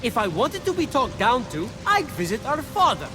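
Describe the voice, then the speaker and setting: A man's voice: very high voice, huge pitch swings, moderate pace, heavily acted. A man playing a brash, prickly god who goads others on in a video game. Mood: indignant. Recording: clear music behind the voice.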